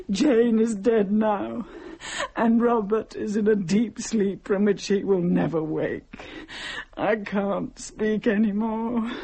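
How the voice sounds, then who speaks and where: An actress playing an anguished woman possessed by a starving mother's spirit, speaking slowly and declaiming in a radio horror drama. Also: mid-range voice, a little mellow, slightly rough.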